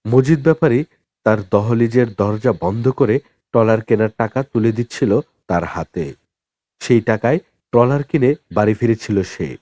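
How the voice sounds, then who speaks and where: A man narrating a novel as an audiobook in a dramatic, expressive storytelling style with deliberate pauses. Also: mid-range voice, no room sound, studio quality.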